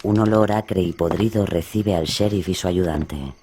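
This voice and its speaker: A man's voice: low voice, normal speed, heavy background noise. A man narrating a scripted horror radio drama in a grave, dramatic manner.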